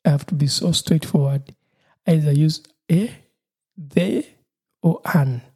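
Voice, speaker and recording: A man with a Ugandan accent and Lusoga as his first language talks through his solo podcast. His voice is dark and slightly husky, and he keeps a cheerful, laughing tone while circling back over his points. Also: on the high side, slow pace. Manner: cheerful, laughs often, repeats himself. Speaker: podcast host.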